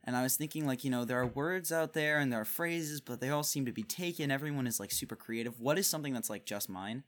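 A young man speaks fast as a podcast guest, curious and chatty. He fills his sentences with "like" and "um" as he explains his ideas. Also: medium pitch, a bit bright. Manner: quick, curious, with many "like"s. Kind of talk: casual chat.

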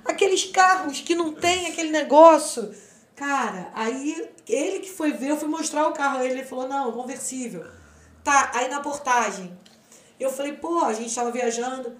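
A woman's voice: high voice, moderate pace, reverberant. Chatty Brazilian woman in her forties. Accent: Brazilian Portuguese. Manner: chatty storyteller, acts out quoted dialogue. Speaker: podcast guest. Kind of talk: casual chat.

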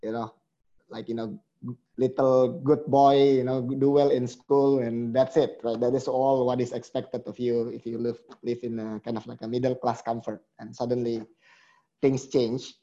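A man's voice: mid-range voice, flat delivery, normal speed, dark tone, dull audio. A middle-aged man, a guest in an interview, talking reflectively with hesitant restarts. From Indonesia. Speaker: guest, company chief executive. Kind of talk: conversational interview.

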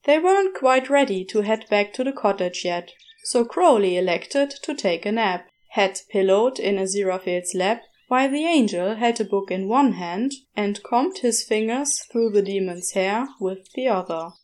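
A woman reading a story aloud as narrator, in an unhurried, measured way. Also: mid-range voice, lively intonation, a little mellow.

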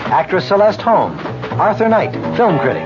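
A man's voice: high-pitched, sing-song, normal speed, dull audio. A man reading a scripted radio promo as an announcer. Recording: band-limited old radio broadcast with music.

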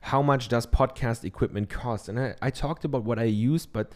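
A man's voice: medium pitch, normal speed, a little mellow. A man from Germany with a German accent, giving a casual how-to talk from his office into a dynamic studio microphone, chatty and loose, with many ums and tag questions. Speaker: podcaster. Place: treated home office.

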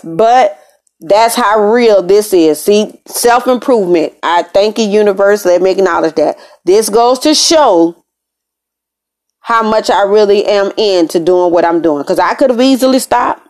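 A woman's voice: fairly low pitch, darkish tone. An American woman hosting a podcast, speaking in a conversational, emphatic way in a casual motivational monologue, often repeating phrases for emphasis. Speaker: podcast host. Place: room in an apartment.

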